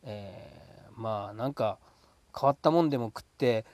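A man hosting a casual solo talk show, speaking politely with drawn-out hesitations between phrases in a dry, close room. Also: medium pitch, darkish tone, no echo. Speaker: podcast host.

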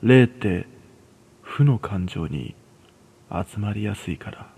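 A man voicing a serious, cautioning young woman who senses spirits, in a hushed horror story. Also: fairly low pitch, expressive, slow, gravelly, breathy edge, full character voice. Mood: grave. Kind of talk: radio horror story dialogue.

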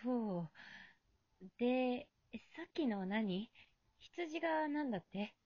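A dry, slightly dull recording of a high-pitched girl, played by a woman in a radio play. She is bewildered and blunt, answering with incredulous retorts. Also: animated, slow, no room sound. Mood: confused, exasperated. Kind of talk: amateur radio drama acting.

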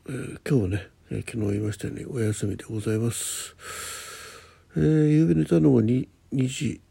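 A man hosting a casual solo morning radio show, chatting in a halting way, thinking aloud and murmuring to himself, with a husky, gravelly voice. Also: fairly low pitch, mellow. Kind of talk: casual solo chat with health log and listener letters.

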